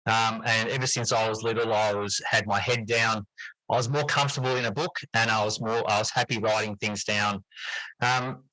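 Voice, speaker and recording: A man's voice: fairly low pitch, fairly even intonation, moderate pace, pushed and bright, no echo, poor audio. A middle-aged man answering interview questions in a conversational, reflective and explanatory way. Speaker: interview guest, teacher and writer.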